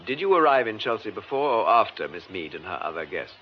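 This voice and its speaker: Radio drama detective: British, courteous, probing, very lively intonation, slightly muffled broadcast sound. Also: male voice, on the low side, fairly quick, slight background noise. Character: composed, methodical male police detective questioning suspects.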